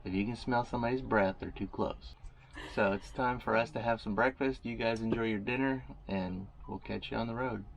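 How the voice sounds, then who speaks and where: A man's voice: lowish voice, steady tone, fairly quick, creaky, a little hiss or hum. A man who installs solar systems, co-hosting a camper vlog in a laid-back, joking way from inside a small camper. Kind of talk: casual chat to camera.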